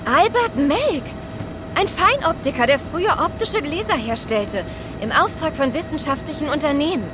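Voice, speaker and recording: A woman's voice: sits high, animated, moderate pace, over music. A woman playing a knowledgeable, insistent young girl in a children's radio play. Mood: explaining. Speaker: voice actor. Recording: clear vehicle noise behind.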